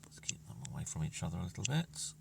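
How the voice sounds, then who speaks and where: A man's voice: deep voice, sing-song, quick pace, gravelly. A man giving casual commentary on his own gameplay, muttering to himself and often trailing into near-whispers. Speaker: gamer narrating his own play. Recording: distant mic with music playing.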